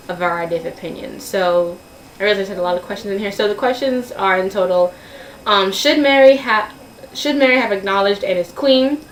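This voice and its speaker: A woman's voice: mid-range voice, fairly bright tone. Woman talking casually in an echoey room. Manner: earnest, opinionated, halting with self-corrections. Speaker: vlogger posing opinion questions to viewers. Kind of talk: casual opinion vlog talk. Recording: roomy echo, distant mic, faint hiss.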